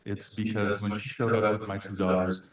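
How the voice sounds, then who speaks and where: A man whose first language is Samoan speaks in a lowish voice at a council meeting. He is earnest and halting, with many pauses and restarts. The echoey chamber rings around him. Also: little pitch movement. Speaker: city council member. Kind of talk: farewell speech at a council meeting.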